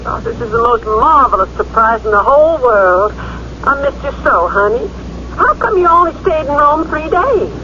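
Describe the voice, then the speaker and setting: An actress playing an adoring, excitable wife on a telephone call in a radio drama, gushing with affection. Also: female voice, high voice, moderate pace, exaggerated voice. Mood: surprised, delighted. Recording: dull, noisy old radio broadcast.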